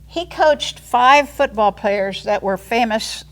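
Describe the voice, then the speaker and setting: Chatty, excited woman in her eighties. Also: a little high, takes it slowly. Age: 80s. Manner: excited, chatty and eager to tell stories. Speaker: line dance instructor. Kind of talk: casual interview chat.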